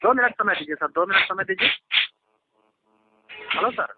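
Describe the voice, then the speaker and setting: Insistent high-voiced man on a phone line. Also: lively intonation, moderate pace, very noisy. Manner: insistent, repetitive, reassuring, addressing the listener formally. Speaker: phone caller posing as bank support. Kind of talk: persuasive phone call. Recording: lo-fi telephone line recording. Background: phone call audio.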